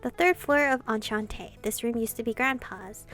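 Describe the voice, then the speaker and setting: A woman doing casual, expressive gameplay commentary, reading visual novel dialogue aloud and adding sweary asides. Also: fairly high pitch, normal speed. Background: game music.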